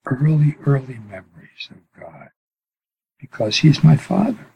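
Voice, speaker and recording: A man in his eighties, a priest being interviewed, telling stories of his childhood slowly, with many pauses, his voice swelling and then dropping almost to a whisper. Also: mid-range voice, big pitch swings, creaky, lo-fi sound, a little hiss or hum. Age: 80s. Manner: unhurried, pausing often, swells and drops to near whisper. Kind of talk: slow reflective storytelling.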